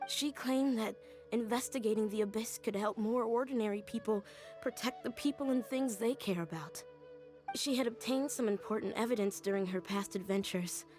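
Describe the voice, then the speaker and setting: Casting: actress as earnest, wistful woman in a game, higher-pitched voice, music playing behind. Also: normal speed, a little mellow, close to an everyday voice. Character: earnest, wistful adult woman recalling her childhood tutor. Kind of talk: video game voice acting.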